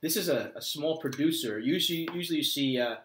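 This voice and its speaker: A man's voice: on the high side, fast, fairly bright tone, a little hiss or hum. A middle-aged man chatting casually as a co-host. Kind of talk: casual chat. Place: small indoor office, seated at a desk. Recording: echoey small room.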